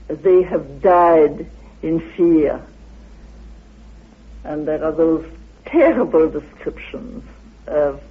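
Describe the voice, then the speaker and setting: Male scholar for interview, high husky voice, deliberate halting delivery that turns declamatory, thin old radio sound. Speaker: interviewed researcher of ancient myths. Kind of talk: lecture-like interview explanation. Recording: tinny phone-like old radio recording.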